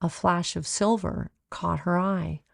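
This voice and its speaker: Woman, children's read-aloud, lowish pitch, creaky, measured expressive storytelling. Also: dry recording, clean studio sound. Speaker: author reading own children's book aloud.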